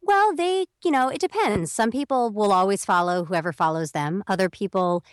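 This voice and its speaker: A woman's voice: medium pitch, moderate pace, no echo, clean studio sound. A young woman speaking as a guest on an interview podcast, explaining at length in a chatty way and acting out quoted messages. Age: young adult. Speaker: voiceover talent guest.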